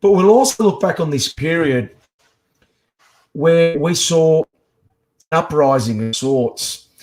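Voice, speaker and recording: An Australian man in his forties with a slightly croaky voice, giving an earnest, impassioned speech as a guest on a video-call live stream. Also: on the high side, takes it slowly, a little mellow. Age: 40s. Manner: earnest, rising with emphasis. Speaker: guest speaker, activist.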